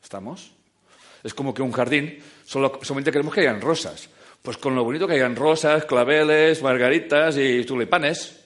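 Animated man lecturing an audience. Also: medium pitch, moderate pace. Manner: animated, joking, swings between loud and quiet. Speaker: speaker giving a talk.

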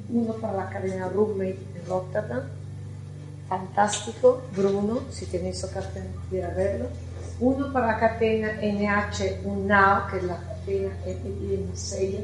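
Italian-accented woman speaking in an echoey hall. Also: mid-range voice. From Italy. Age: middle-aged. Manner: chatty, lively, asks the audience questions. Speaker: architect giving a conference talk. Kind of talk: conference talk. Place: lecture hall. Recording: echoey hall with faint music.

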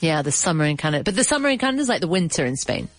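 A woman's voice: deep voice, very animated, very fast. Chatty woman host with a runny nose. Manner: chatty, laughing, explains words. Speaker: podcast host teaching English. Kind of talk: casual bilingual chat.

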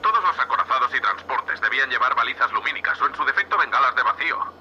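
A man playing a gruff, impatient spaceship captain in an audio drama, curt and scolding, with a Castilian Spanish accent. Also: mid-range voice, expressive, fast talker, sharp tone, muffled recording, slight background noise. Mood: matter-of-fact, curt.

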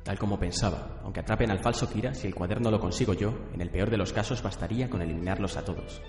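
Radio drama student, male actor: lowish voice, brisk, controlled, calculating. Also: a little mellow, soft background music, lightly acted. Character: cool, calculating student, politely controlling young man.

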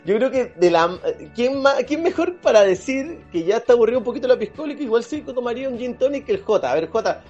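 A man's voice: extremely high pitch, very animated, quick pace, few pauses, darkish tone. A man with a Chilean accent chatting casually as a podcast co-host, often repeating words as he searches for the next. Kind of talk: casual chat. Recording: dull lo-fi audio, music behind. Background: background music.

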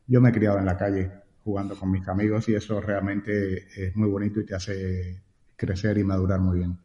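A Cuban-accented man with a lowish voice answers interview questions and keeps repeating words as he thinks. The recording is dull and lo-fi, with a roomy echo and a long reverb tail. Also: little pitch movement, normal speed, mellow. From Cuba. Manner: repeats words while thinking, frequent "eh" fillers. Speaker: guest.

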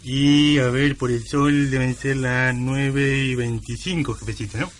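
A man acting a cheeky slacker reporter in a comic radio play, buttering up his superior. He sounds wheedling and evasive at a middling pitch, with faint noise on the old broadcast. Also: steady tone, unhurried, close to an everyday voice. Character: cheeky, fawning male newspaper reporter, scruffy slacker.

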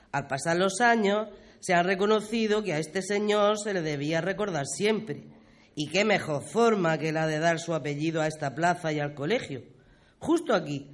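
A man playing a knowledgeable local who patiently explains village history in a scripted radio drama. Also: high-pitched. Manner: explanatory, didactic.

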